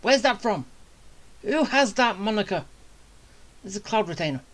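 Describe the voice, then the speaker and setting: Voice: mid-range voice, big pitch swings, normal speed. A video game streamer giving casual commentary, muttering and thinking aloud in a rambling way. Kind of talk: casual gameplay commentary, talking to self.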